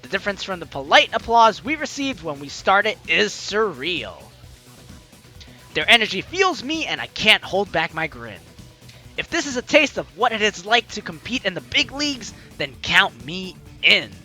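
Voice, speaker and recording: A woman hosting a let's play, chatty and excitable, reading game narration aloud with drama and commenting as she goes. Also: mid-range voice, moderate pace. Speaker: gaming video host. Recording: game music behind the voice. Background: game music.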